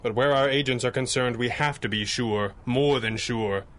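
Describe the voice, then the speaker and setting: An actor playing a stern, resolute masked crime-fighter with grave, clipped, formal speech in a 1930s radio adventure style. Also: male voice, medium pitch, moderate pace, fairly bright tone, natural voice. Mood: grave, suspicious.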